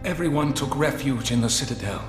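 An actor playing a frightened hooded monk in a fantasy game, halting, earnest and cowering. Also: male voice, mid-range voice, lively intonation, close to an everyday voice. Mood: anxious, earnest. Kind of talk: fantasy game cutscene acting. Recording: echoey hall with music underneath.

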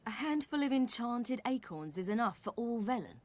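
A woman's voice: fairly high pitch, lively intonation, moderate pace, darkish tone. A woman playing a headstrong, defiant young warrior in a fantasy game, direct and sardonic. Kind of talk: fantasy video game acting.

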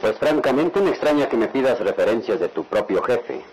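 A man's voice: medium pitch, expressive, brisk, darkish tone, lightly acted. An actor playing a stern, cautious middle-aged father in a radionovela, lecturing with authority. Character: stern, cautious father with plans for his children. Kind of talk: radionovela acting. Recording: noisy old radio drama recording.

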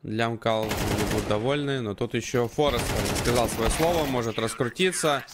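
A man giving live commentary and analysis on a video game match in measured, short phrases. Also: medium pitch. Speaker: esports commentator. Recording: clear game gunfire and noise behind.

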